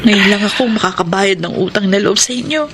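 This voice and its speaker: A woman's voice: mid-range voice, brisk, very noisy, close to an everyday voice. A woman playing a grateful, devoted single mother in a Filipino radio drama, speaking earnestly and respectfully. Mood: insistent, grateful. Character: grateful, devoted single mother telling her life story. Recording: music bed behind voice.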